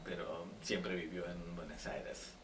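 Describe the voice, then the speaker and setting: A man's voice: low voice, flat delivery, slow pace. A man in his fifties from India with an Indian accent, talking in an interview as a shop owner. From Jaipur, India. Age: 50s. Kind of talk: interview talk. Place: indoors in a clothing shop. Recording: roomy, slightly distant, faint noise.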